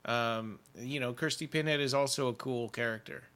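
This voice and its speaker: A man's voice: mid-range voice, normal speed, fairly bright tone. A man co-hosting a podcast in casual chat, enthusiastic but hesitant with fillers. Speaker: podcast co-host.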